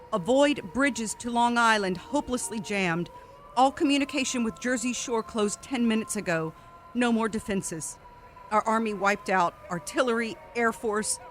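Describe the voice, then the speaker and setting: A frightened actress as a female announcer in a radio drama, with a high, piercingly bright voice. She reports disaster as an eyewitness, halting and stumbling, over a noisy ambient backdrop. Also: natural voice. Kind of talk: radio drama acting, live emergency broadcast. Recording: constant noise, vehicle-like ambience behind.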